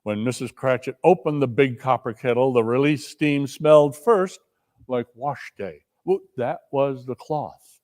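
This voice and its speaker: A man giving a theatrical storybook narration, deliberate and expressive. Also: mid-range voice. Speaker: narrator in a dramatic reading.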